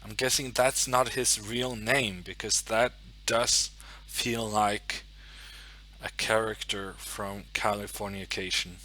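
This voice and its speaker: Slow, bright-voiced Norwegian streamer. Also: male voice, fairly low pitch, steady tone, a little hiss or hum. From Norway. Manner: chatty, thinking aloud, muttering to himself. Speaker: chess streamer. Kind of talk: casual live-stream chat.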